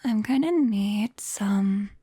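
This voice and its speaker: A British woman playing a clumsy, caring young witch in an ASMR roleplay, whispering softly and hesitantly, close to the mic. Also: mid-range voice, expressive, slow pace, no room sound. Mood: coaxing, caring. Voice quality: soft, breathy whisper. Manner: hesitant, coaxing, gently apologetic. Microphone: close binaural microphone.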